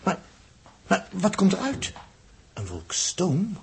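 A man's voice: high voice, huge pitch swings, slow, vocal fry, a little hiss or hum, heavily acted. An actor playing a curious, astonished male spaceship crewman in a 1960s Dutch radio drama, questioning and repeating words.

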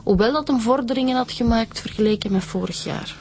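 A woman's voice: on the high side, fairly quick, a little mellow, slight background noise, natural voice. A woman playing an eager, matter-of-fact homicide detective reporting findings in a radio drama, with a Flemish accent. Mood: matter-of-fact, keen. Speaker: police detective.

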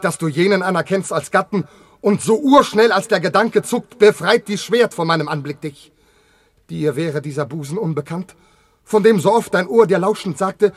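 High-voiced anguished Amphitryon, in radio drama. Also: male voice, no room sound. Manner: impassioned, declamatory. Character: Amphitryon, anguished proud betrayed Theban general and husband. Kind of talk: classical verse radio drama acting.

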